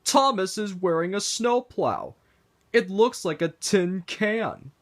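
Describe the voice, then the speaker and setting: This is a vain storybook steam engine who mocks the others. A man plays him, lifting his voice high above its usual register. The reading is slow, with a wide pitch range. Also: expressive, exaggerated voice. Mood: mocking. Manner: teasing, mocking.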